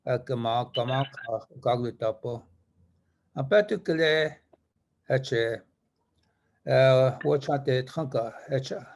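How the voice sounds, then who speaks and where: Older man, first language Lakota, praying at a gathering, unhurried, middle-pitched. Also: a little mellow. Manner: slow, measured, pausing often. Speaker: spiritual leader giving opening prayer. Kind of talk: ceremonial prayer and speech.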